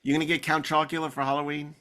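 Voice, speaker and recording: Bright-voiced man hosting a podcast. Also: fairly high pitch, level tone, rapid-fire, smooth. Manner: joking, digressive, teasing. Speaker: podcast host. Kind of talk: casual panel banter and show sign-off.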